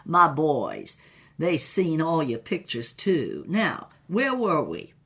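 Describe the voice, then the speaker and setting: A man's voice: on the high side, wildly swooping pitch, slow, vocal fry, a bit bright, full character voice. A young male rock-and-roll movie star from the American South as a storyteller puts him on. He talks in a folksy, swaggering drawl, built for a comic audio story. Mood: amused, brash. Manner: folksy, refers to himself in third person.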